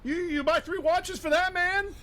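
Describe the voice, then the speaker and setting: A man voicing a fast-talking street watch vendor in a radio play. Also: very high voice, steady tone, sharp tone, pitched above the performer's own voice. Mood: pushy hustling. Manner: rapid hustling sales patter.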